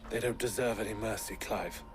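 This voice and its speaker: A righteous, defiant male swordsman played by an actor in a video game drama. His voice sits fairly low, and prominent score plays behind him. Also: steady tone, fairly quick, music in the background, lightly acted. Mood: grim.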